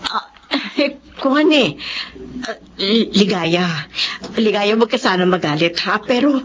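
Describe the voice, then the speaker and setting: An actress playing a flustered, evasive woman friend in a Filipino radio drama, hesitant and excitable. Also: mid-range voice, unhurried, muffled recording, slight background noise, natural voice. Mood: nervous, defensive.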